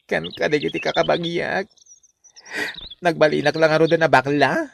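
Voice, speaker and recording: Campy, melodramatic elder sibling. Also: male voice, fairly high pitch, fairly even intonation, moderate pace, vocal fry, a little hiss or hum. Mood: self-pitying. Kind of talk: Ilocano radio comedy drama acting.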